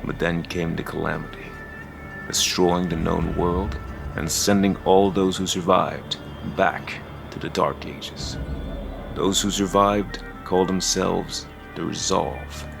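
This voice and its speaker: A man performs an immortal, all-seeing narrator of a dark fantasy audio drama. The voice is very low and heavily gravelled, solemn and portentous, with measured phrasing over clear background music. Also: fairly even intonation, exaggerated voice. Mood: ominous. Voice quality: deep, gravelly.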